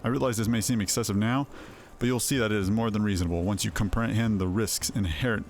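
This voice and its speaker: A man's voice: on the low side, steady tone, fast talker, darkish tone, a little hiss or hum, close to an everyday voice. A man playing a businesslike, secretive veteran in a fantasy game, giving formal instructions. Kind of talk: voiced game dialogue.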